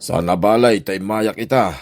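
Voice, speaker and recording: A man's voice: lowish voice, a little slow. A man playing a village captain who hears neighbors' complaints in a comedy radio drama. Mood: puzzled.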